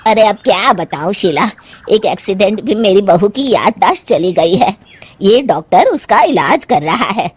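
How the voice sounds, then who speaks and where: A woman's voice: mid-range voice, animated, a little slow, husky, no echo, voice pushed down. A woman voicing an exasperated, scheming older mother-in-law in a cartoon story, with a scolding, theatrical delivery. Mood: worried complaining. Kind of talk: Hindi cartoon moral story acting.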